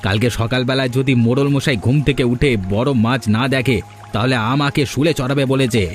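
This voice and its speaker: A man voicing a scheming, bossy village man in a cartoon, with an excitable, hectoring delivery. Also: medium pitch, fairly quick, faint music behind, close to an everyday voice. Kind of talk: Bengali cartoon voice acting.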